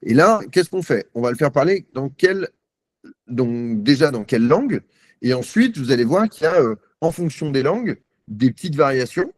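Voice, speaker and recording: A man giving a live software demo on a video call, chatty and self-mocking, thinking aloud with many fillers. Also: mid-range voice, lively intonation, moderate pace, no echo. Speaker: guest presenter.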